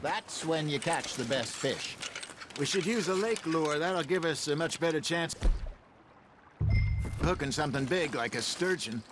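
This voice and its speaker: An old American outlaw in a game, with a high-set voice. He sounds knowing and wry, gently mocking as he goes. Boat and water noise is clearly behind him. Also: lively intonation, a little slow, pauses often. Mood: knowing, easygoing. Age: older. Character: wry, quick-witted old male outlaw and fisherman. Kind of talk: video game cutscene acting.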